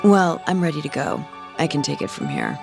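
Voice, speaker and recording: Game dub: composed young woman, low for a female, gravelly fry, terse, music behind. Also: huge pitch swings, normal speed, exaggerated voice. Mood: cool, reserved. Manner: understated, terse. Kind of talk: English game dub acting.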